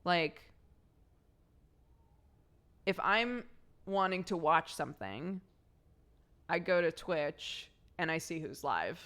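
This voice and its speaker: Young woman, congested, rambling, laughs a lot, lowish voice, very lively intonation. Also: slow pace, pauses often. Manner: chatty, rambling, laughs often. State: congested from a cold. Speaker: streamer. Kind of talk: casual chat with viewers.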